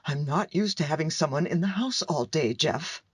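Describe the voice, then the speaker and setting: Husky, irritated wife, acted by a woman. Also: sits low, expressive, moderate pace. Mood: annoyed, firm. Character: irritated, firm wife worn down by houseguest. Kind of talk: radio play acting.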